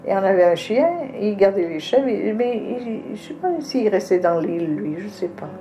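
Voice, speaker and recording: An older woman telling childhood memories in a relaxed, lively storytelling way. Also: medium pitch, normal speed, over music. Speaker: interviewee recalling childhood. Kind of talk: casual reminiscing.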